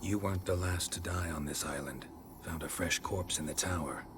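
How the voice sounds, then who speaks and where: Man acting stoic monster hunter, game hero, rock-bottom pitch, gravelly, terse, suspicious, world-weary. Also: normal speed, noisy background, lightly acted. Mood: probing, matter-of-fact. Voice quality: gravelly, low. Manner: terse, deadpan, clipped sentences. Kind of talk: dramatic video game acting.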